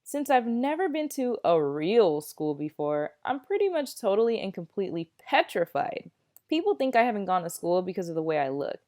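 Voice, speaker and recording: A woman's voice: mid-range voice, darkish tone. A woman reading a children's novel aloud as a candid, self-aware ten-year-old boy, in a conversational and emphatic way. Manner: conversational, emphatic storybook narration.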